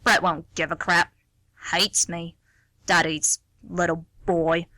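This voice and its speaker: A woman's voice: lowish voice, little pitch movement, slow pace, sharp tone, no echo. A woman playing a chatty, nosy, prickly middle-aged mother in an amateur radio play, rambling, cheeky and easily affronted. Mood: chatty, aggrieved.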